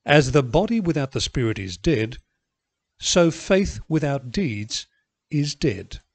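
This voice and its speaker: Male scripture reader, animated intonation, dry close recording. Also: on the high side, no echo. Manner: expressive, measured reading. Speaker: Bible reader. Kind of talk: scripture reading.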